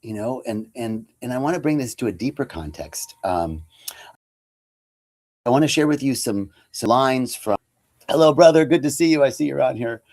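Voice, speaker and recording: A man's voice: mid-range voice, lively intonation, normal speed, darkish tone. A man giving a casual, conversational inspirational talk as a spiritual teacher on a computer webcam livestream. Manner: casual and conversational, with lots of fillers.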